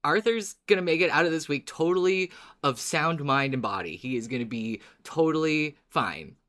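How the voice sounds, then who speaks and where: A man's voice: fairly high pitch, expressive, moderate pace, fairly bright tone. A man co-hosting a podcast, chatting casually and recapping in a playful, wry, dramatic way. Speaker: podcast co-host.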